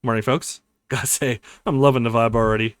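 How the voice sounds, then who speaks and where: Relaxed, friendly tech lead, read by a man. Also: mid-range voice, a little fast, smooth, no room sound, clean studio sound, voice pushed down, natural voice. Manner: easygoing, confident. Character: friendly, relaxed male government tech lead pitching a mission.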